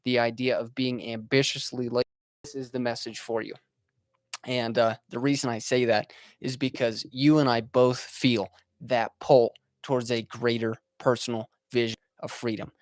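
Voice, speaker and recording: A man's voice: medium pitch, little pitch movement, normal speed, no room sound. Earnest young man, dry close sound. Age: 20s. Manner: earnest, motivational, many fillers and restarts. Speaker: podcast host. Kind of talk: casual monologue.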